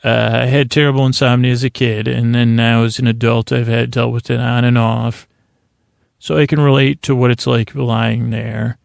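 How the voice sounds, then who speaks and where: Male podcast host, gravelly fry, fairly even pitch, halting and circular bedtime rambling. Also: no room sound. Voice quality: creaky voice. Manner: meandering, halting, circles back on himself. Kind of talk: rambling bedtime-story podcast intro monologue.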